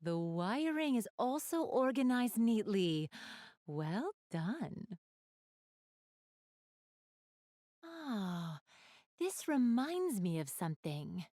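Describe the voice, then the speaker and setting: Casting: elegant young game goddess, ladylike and courteous, fairly high female voice, unhurried delivery, dry studio sound. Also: darkish tone, dry recording. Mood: composed, chatty. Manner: polite, formal, ladylike phrasing. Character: elegant, refined young goddess with gamer enthusiasm. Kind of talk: video game character dialogue, addressing the listener.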